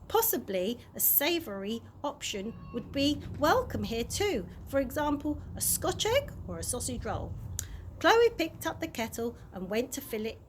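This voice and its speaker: A woman narrating a children's chapter book aloud in an expressive storytime style. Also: a little high. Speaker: early years practitioner reading a story. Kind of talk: animated children's audiobook narration. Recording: clear background noise.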